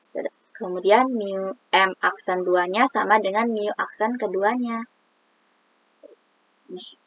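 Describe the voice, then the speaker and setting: A woman's voice: mid-range voice, steady tone, slow, muffled recording, slight background noise. A woman explaining a statistics exercise, reading formulas aloud step by step with many hesitant fillers. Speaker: student presenting a statistics exercise. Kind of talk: explaining math on screen.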